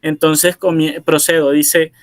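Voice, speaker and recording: A man from Barranquilla, Colombia, giving an informal lecture and pausing often with filler sounds. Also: fairly high pitch, flat delivery. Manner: hesitant, many filler sounds and restarts. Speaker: philosopher giving a presentation. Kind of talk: informal lecture, explaining and reading texts.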